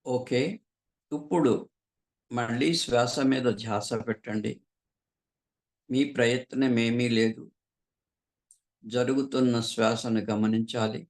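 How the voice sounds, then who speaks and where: A man leading a guided meditation as a teacher, calmly and unhurriedly, pausing often between short phrases. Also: mid-range voice. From southern India.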